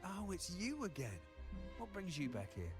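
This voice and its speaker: Lively high-pitched gossipy man. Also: moderate pace. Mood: nosy, puzzled. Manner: chatty, inquisitive. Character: curious, gossipy male family member. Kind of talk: scripted video game voice acting. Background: game music.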